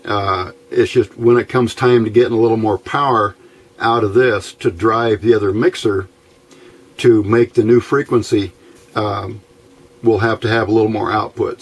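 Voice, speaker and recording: A man giving an informal, unscripted hands-on electronics demonstration, talking haltingly and thinking aloud as he goes. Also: lowish voice, steady tone. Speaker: radio hobbyist presenting his build. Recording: clear background noise.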